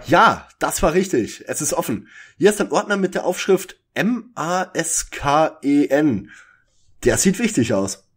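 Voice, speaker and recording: A man's voice: on the high side, very animated, unhurried, breathy edge, exaggerated voice. A man playing a fussy, bookish young detective in a parody detective audio drama, with a pedantic manner. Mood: focused.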